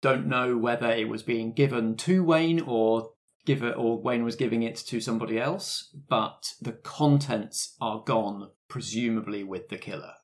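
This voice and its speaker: A man's voice: medium pitch, normal speed, few pauses. A man narrating an improvised tabletop game as game master, prompting the players.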